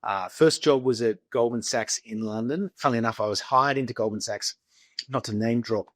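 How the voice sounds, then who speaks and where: A man's voice: mid-range voice, brisk, no echo, clean studio sound. A man from Sydney with an Australian accent, talking informally and anecdotally as an interview guest. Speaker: interview guest, investment executive. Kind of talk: conversational storytelling.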